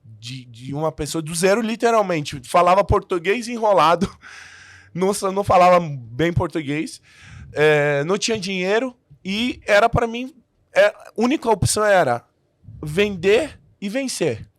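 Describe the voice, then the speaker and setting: A man's voice: high-pitched, lively intonation, unhurried, no room sound. A man who learned the language as an adult, a podcast guest telling his story in a casual way with many restarts and fillers.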